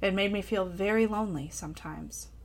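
A woman's voice: mid-range voice, a little fast. A woman reading her own personal essay aloud for a podcast, reflective and candid, with a lightly self-amused, conversational touch. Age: adult parent of a young child. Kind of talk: personal essay read aloud with casual asides.

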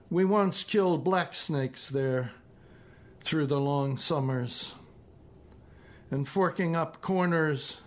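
Slow-paced elderly American man reading. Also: mid-range voice. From northeastern United States. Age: 70s. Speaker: poet reading own work. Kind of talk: literary poetry and prose reading.

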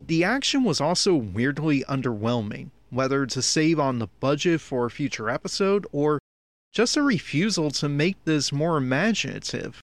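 Male reviewer, slightly high voice, exasperated and nitpicky, dry close sound. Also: big pitch swings, moderate pace, no room sound. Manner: critical, nitpicky, exasperated complaining. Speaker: podcast host giving episode commentary. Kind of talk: opinionated review commentary.

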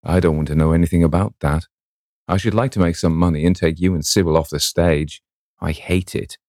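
A man voicing a blunt, grumbling rough young man in a storybook reading. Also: deep voice, steady tone, a little fast, a little mellow, no room sound, clean studio sound.

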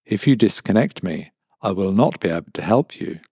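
A man's voice: low voice, breathy edge, dry recording, clean studio sound, heavily acted. A man voicing a cold watchful smart-speaker AI. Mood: cold, polite. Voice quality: flat, measured, polite yet menacing.

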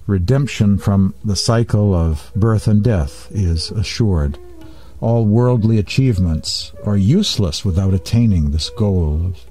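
Guy reading spiritual stuff, low mellow relaxed voice, slow careful pauses, music playing behind him. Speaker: host of a spiritual program. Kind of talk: reading and commenting on spiritual texts.

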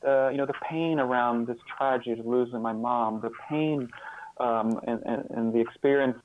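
Halting man of fifty, muffled phone-like sound. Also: mid-range voice, a little hiss or hum. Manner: halting, repeats words, thoughtful and earnest. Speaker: interview guest, author. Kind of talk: reflective interview conversation.

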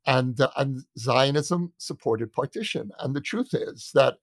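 A middle-aged man with an American accent talks through an argument in a reflective, conversational way. He is deliberate and emphatic, often repeating a word before landing the phrase. His voice sits at a medium pitch in a very dry recording. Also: slightly rough, no echo. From United States. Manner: deliberate, emphatic, with repeated words and pauses for weight. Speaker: interview guest, journalist and author.

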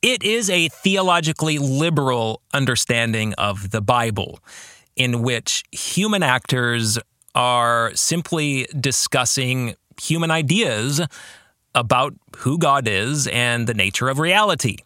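Animated, bright-voiced man teaching on a podcast. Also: mid-range voice, a little slow, dry recording, studio quality. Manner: academic, emphatic, asks the listener reflective questions. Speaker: podcast host and theology teacher. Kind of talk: book discussion podcast, teaching and reading quotations aloud.

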